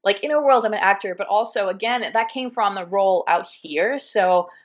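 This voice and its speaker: A woman with a Californian accent chatting in an animated, casual way, full of fillers like "um" and "like," over a video call. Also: mid-range voice, normal speed. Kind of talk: casual conversation. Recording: muffled video call audio.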